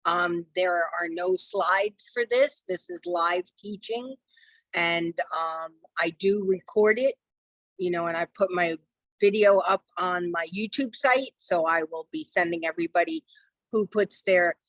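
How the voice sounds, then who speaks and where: Slightly hoarse woman teaching online. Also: fairly low pitch, measured pace, no echo, poor audio. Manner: chatty, many ums, reassuring. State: losing her voice. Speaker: real estate software trainer. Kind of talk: live online training session. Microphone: video call.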